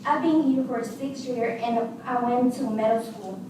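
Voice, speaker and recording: A young woman from Honduras, a student speaking earnestly at a board meeting in slightly halting English. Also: fairly high pitch. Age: young adult. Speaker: student, public commenter. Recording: echoey hall, far from mic, noise.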